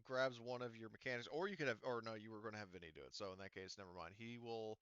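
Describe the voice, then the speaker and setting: A man working as game master, talking casually and wordily, thinking aloud and halting as he narrates a tabletop game. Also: lowish voice, a bit bright, clear, resonant tone, dry recording, highs cut off.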